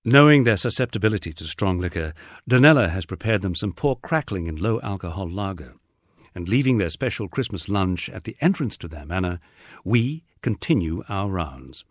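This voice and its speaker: Male audiobook narrator for comic memoir: lowish register, highly varied intonation, wry, recorded dry and close. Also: animated, moderate pace, dry recording. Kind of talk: scripted narration of a humorous memoir.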